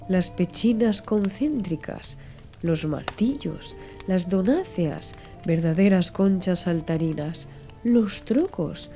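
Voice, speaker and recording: A woman narrating a literary audiobook in a measured, expressive storytelling manner. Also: mid-range voice. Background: music under the voice.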